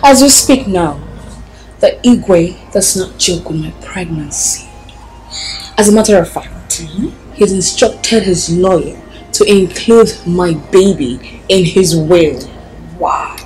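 A woman's voice: fairly low pitch, expressive, takes it slowly, a little mellow, soft background music, rough recording. An actress with a Nigerian accent playing a boastful, scheming expectant mother in a film drama. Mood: smug boasting. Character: boastful scheming pregnant woman favoured by royalty.

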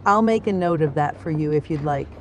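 A man's voice: a little high, brisk, background noise, close to an everyday voice. A man playing a polite, hesitant junior spaceport security officer in an audio drama.

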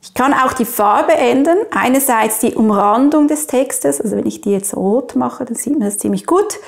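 High-voiced Swiss woman giving a tutorial. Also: normal speed. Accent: Swiss German. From Switzerland. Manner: clear, instructional, addresses the viewer directly. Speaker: presenter of app tutorials. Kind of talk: step-by-step screen tutorial.

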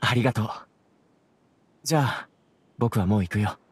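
Gentle, remorseful young man, lowish voice. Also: normal speed, husky, dry recording. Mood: resigned. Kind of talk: anime video game voice acting.

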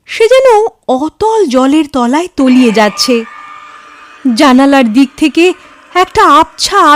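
High-pitched, expressive woman storyteller. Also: no echo, studio quality. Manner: expressive, suspenseful. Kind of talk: dramatic ghost story narration.

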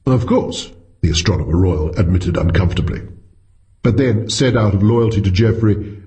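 A man's voice: low voice, fairly quick, warm tone, dull audio. A man narrating a short story from a script as an audiobook reader.

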